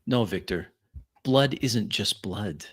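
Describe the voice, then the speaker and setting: A man playing a refined, snobbish vampire with measured, disdainful speech in an improvised tabletop roleplay. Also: on the low side, animated, husky, full character voice. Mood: disdainful, lecturing. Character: refined, snobbish male vampire who savors quality.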